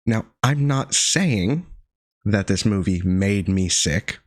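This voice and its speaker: Guy with a low gravelly getting-over-a-cold voice doing a dry sarcastic movie review, pretty dead room sound. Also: expressive, dry recording. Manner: dry sardonic asides, frequent pauses. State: gravelly voice recovering from illness. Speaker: film reviewer. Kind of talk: casual video review monologue.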